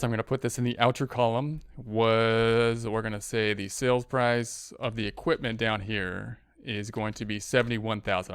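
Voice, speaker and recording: A man's voice: mid-range voice, clean tone, dry recording, studio quality. A man giving a step-by-step spreadsheet tutorial as an instructor, thinking aloud and often repeating his phrases. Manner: repeats phrases while working through steps. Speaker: accounting instructor.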